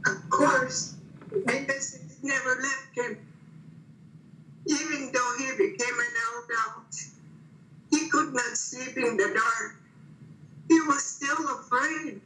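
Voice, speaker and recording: A woman interviewed about her late brother, speaking slowly and resolutely. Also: high-pitched, bright, forceful tone, roomy sound, recorded from a distance, a little hiss or hum, low-quality recording. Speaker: sister of a victim. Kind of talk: interview by call.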